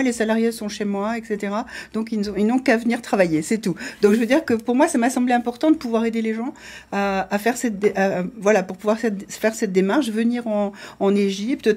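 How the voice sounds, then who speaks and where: A woman's voice: medium pitch, a little mellow. A middle-aged woman from France, a business owner speaking as a studio panel guest and telling her experiences frankly and with confidence. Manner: frank, anecdotal, self-assured.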